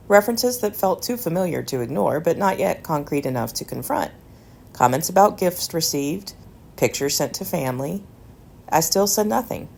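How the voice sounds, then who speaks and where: A woman narrates a personal essay with deliberate, measured phrasing. Her voice sits a little low and carries a noticeable fry, while her pitch moves expressively through the reflective lines. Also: darkish tone. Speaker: essayist reading own work.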